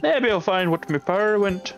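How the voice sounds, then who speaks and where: A man's voice: high voice, animated, measured pace, soft background music, pitched above the performer's own voice. A man reading a young game character's lines in a put-on Irish accent, exaggerated and playful. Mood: excited.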